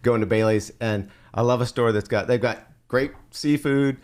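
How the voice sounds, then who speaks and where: A man's voice: mid-range voice, normal speed, a little mellow. A man born in Scotland and raised in New Mexico, a podcast guest talking easily with many fillers. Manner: easygoing, hesitant with many fillers. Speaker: guest, visitor. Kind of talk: casual interview chat.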